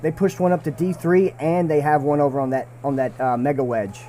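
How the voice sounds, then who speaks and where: A man's voice: fairly high pitch, steady tone, a little fast, warm tone, a little hiss or hum. A man giving casual live commentary on an airsoft match, repeating himself and restarting phrases as he talks. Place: small side room near the field. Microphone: shared desk mic.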